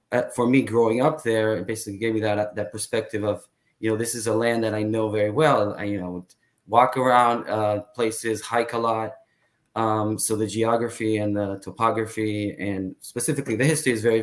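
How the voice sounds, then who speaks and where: A man in his thirties from Israel, talking as an interview guest in a hesitant way, with many fillers and pauses. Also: fairly low pitch, fairly even intonation. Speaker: guest, tour guide. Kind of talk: casual interview talk.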